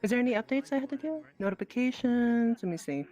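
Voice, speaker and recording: A woman with a high, very animated voice talks through a casual game stream in a flustered, apologetic way. She takes her time, hesitating often, with music playing behind her. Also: quick pace, dull audio. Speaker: host of a virtual-reality roleplay livestream. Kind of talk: casual live gaming chat.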